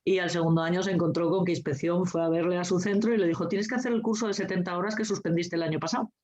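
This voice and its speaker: Mujer sarcástica y directa, grabación apagada. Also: female voice, on the low side, fairly even intonation, rapid-fire, a little mellow. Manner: blunt, sarcastic, swears freely, laughs often. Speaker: guest speaker, teacher trainer. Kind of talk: lively anecdotal talk. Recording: dull lo-fi recording.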